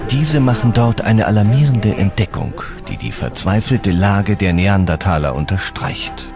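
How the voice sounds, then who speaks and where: A man reading scripted documentary narration in a measured, dramatic storytelling manner. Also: on the low side. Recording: dramatic music under the voice. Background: background music.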